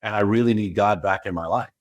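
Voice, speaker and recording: A man's voice: on the low side, fairly quick, dry recording, dull audio. A man from California with an American accent, a spiritual guide being interviewed, who talks emphatically and keeps asking rhetorical questions. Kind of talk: interview talk.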